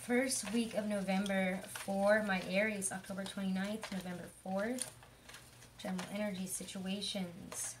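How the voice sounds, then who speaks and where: A young woman with a hoarse voice giving a chatty, rambling tarot reading, full of fillers. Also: mid-range voice, fairly even intonation, measured pace, slight background noise, low-quality recording. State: hoarse from illness. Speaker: tarot reader. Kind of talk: casual tarot reading monologue.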